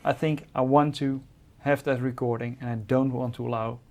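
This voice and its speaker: A man's voice: medium pitch, fairly even intonation, moderate pace, dark tone. A man co-presenting, giving a conversational technical explanation.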